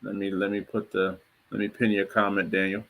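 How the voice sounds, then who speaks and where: A man's voice: fairly low pitch, monotone, fairly quick, creaky, fairly bright tone. A man hosting a casual livestream, talking in a laid-back, slangy way and hyping up his guest. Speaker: livestream host.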